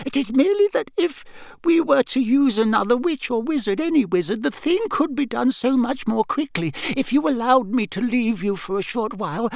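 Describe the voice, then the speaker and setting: A man voicing a timid, cringing servant of a villain in an audiobook, with a squeaky voice and a nervous, fawning, pleading manner. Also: vocal fry, no echo, full character voice. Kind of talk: audiobook character acting.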